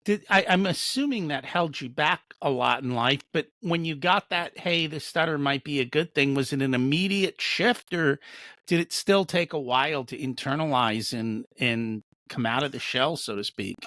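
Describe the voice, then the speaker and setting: A man's voice: a little high, moderate pace, sharp tone, no room sound. A man hosting an interview podcast, chatting casually and laughing easily between questions. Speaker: podcast host.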